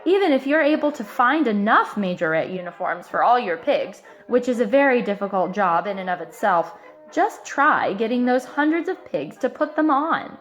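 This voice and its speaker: Animated, high-voiced woman reading a storybook. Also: lo-fi sound, faint music behind. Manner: animated, emphatic, drawn-out key words. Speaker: storybook reader. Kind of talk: expressive read-aloud of a children's picture book.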